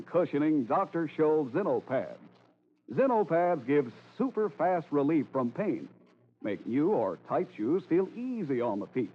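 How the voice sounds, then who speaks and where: A man announcing in a formal, polished 1940s radio style, reading scripted narration and sales copy. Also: on the high side. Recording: tinny old radio broadcast recording.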